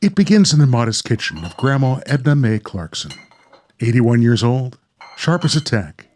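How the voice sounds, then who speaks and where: A man's voice: mid-range voice, big pitch swings, moderate pace, a little mellow, slight background noise. An American man hosting a podcast, chatting casually and narrating. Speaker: podcast host.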